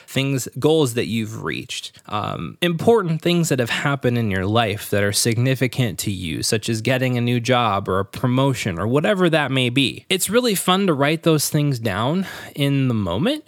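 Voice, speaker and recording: A man's voice: medium pitch, no room sound, studio quality. A man hosting a solo podcast, talking earnestly and conversationally while congested. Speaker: podcast host. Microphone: studio microphone.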